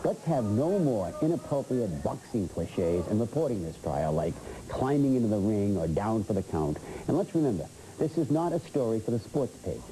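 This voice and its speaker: Very dark-toned American man, animated pitch. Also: moderate pace, few pauses. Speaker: political panelist. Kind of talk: opinionated political commentary. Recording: faintly noisy old TV broadcast tape.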